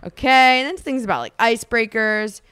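A woman's voice: fairly high pitch, normal speed, a bit bright, clean tone, no echo. A woman co-hosting a casual podcast, reading lines from a book aloud and teasing her co-host with playful asides.

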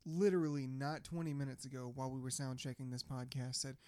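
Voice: mid-range voice, level tone, fast talker, darkish tone. A podcast host chatting casually, blunt and teasing, swearing freely and laughing a lot.

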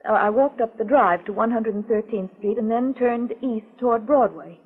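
Through a narrow, tinny 1940s radio recording comes a frightened woman in a mystery drama. Her voice is fairly high and slightly husky. She recounts her tale earnestly, with hesitant, dramatic composure. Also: moderate pace. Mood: uneasy, recounting.

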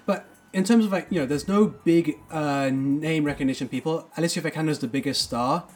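Rambling, fairly high-voiced man reviewing films. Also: brisk. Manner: rambling, enthusiastic, many fillers. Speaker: podcast co-host. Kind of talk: casual film review chat.

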